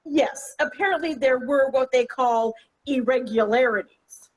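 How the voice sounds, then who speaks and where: A woman's voice: high voice, a little slow, low-quality recording. A woman playing a wry, exasperated middle-aged mother in a comic play read aloud. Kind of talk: table-read stage comedy acting.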